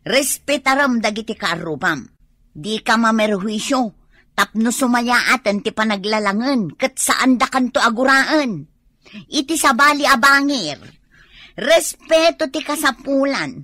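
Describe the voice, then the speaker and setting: Radio drama: exasperated, reproachful Ilocano wife, high forceful voice, close dry sound. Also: female voice, animated, measured pace, no echo, full character voice. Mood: scolding. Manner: reproachful, nagging. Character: exasperated, scolding wife embarrassed before the neighbours.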